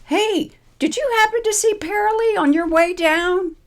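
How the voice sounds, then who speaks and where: A woman's voice: very high-pitched, normal speed, voice pushed up. A woman voicing a chipper, kind-hearted old lady with an eager, friendly manner in a comic story. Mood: playful.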